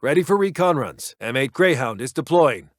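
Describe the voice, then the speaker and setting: An actor playing a businesslike American military intelligence officer, giving clipped, official unit reports in a strategy game. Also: male voice, medium pitch, expressive, normal speed, dry recording, clean studio sound, close to an everyday voice. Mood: brisk, dutiful.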